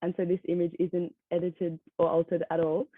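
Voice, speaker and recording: A seventeen-year-old woman giving a conference talk over a video call, soft-spoken with many ums and light laughs. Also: fairly low pitch, level tone, moderate pace, no echo. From Australia. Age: teens. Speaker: conference speaker. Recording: tinny phone-like line, dry.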